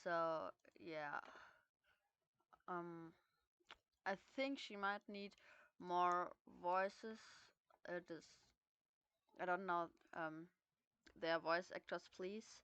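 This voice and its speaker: A woman's voice: fairly low pitch, very slow, long pauses, fairly bright tone, clear, resonant tone, no echo, muffled recording. A young woman with a German accent chatting hesitantly between voice recordings, with many fillers. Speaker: amateur voice actor. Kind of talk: casual recording vlog.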